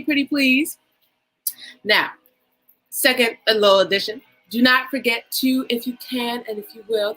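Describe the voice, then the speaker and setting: A woman's voice: a little high, bright, forceful tone, rough recording. A woman hosting a casual podcast on a livestream, upbeat and chatty. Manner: upbeat, chatty, drawn-out emphasis. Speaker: podcast host.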